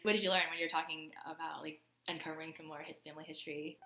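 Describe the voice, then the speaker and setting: Low-voiced woman hosting a podcast. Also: flat delivery, very fast, sharp tone, reverberant. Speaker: podcast host, interviewer. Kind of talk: casual interview questions.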